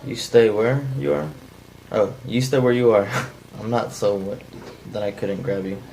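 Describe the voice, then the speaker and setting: A man's voice: fairly low pitch, unhurried, gravelly, a little hiss or hum, poor audio. A man reading the part of a menacing, bitter drifter in an unpolished script table read. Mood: threatening, tired. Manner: flat, unpolished amateur line reading. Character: menacing, bitter, down-on-his-luck male drifter intruder.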